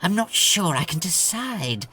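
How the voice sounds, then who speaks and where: A fussy, petulant lord, swooping pitch. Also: female voice, big pitch swings, unhurried, sharp tone, breathy edge, full character voice. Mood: affronted, fussy. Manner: obsequious, petulant, pompous. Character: fussy, creeping, self-important male lord and tax sheriff. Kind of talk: audio comedy drama acting.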